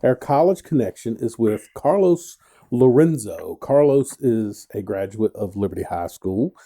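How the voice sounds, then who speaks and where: Middle-aged male host, very mellow dark tone, rambling, joky interviewer. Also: lowish voice, expressive, unhurried. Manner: rambling, joking, many restarts. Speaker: radio show host. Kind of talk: casual radio interview.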